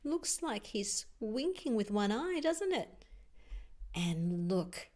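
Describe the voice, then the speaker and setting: Female storyteller for preschool picture books: slow delivery, lively intonation, chatty explanatory asides and questions to the child. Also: fairly high pitch. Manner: expressive, explains pictures, puts questions to young listeners.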